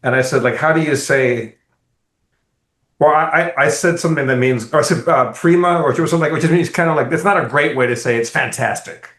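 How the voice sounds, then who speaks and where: A man co-hosting a podcast, telling a rambling, animated travel story in casual, profane chat. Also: on the high side, fairly quick. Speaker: podcast co-host. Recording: roomy echo.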